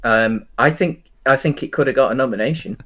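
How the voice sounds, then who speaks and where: A man with a mid-range voice talks as a podcast host in loose, conversational film chat. He is enthusiastic, yet his delivery is halting and rambling, often feeling its way through a thought. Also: mid-range voice, fairly bright tone. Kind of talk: casual film discussion.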